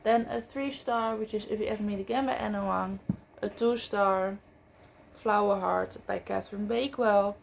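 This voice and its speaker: A woman chatting about books in English, which is not her first language, often pausing with fillers and correcting herself mid-sentence. Her voice has a light gravelly fry at mid pitch, and a faint hiss sits under the recording. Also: steady tone. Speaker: book vlogger. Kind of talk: casual book review chat.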